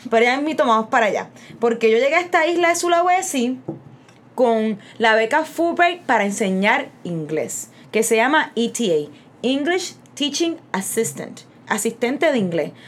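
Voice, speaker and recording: A woman's voice: a little high, very animated, normal speed. A young Puerto Rican woman co-hosting a casual podcast, explaining things with examples and asides. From Puerto Rico. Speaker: podcast co-host.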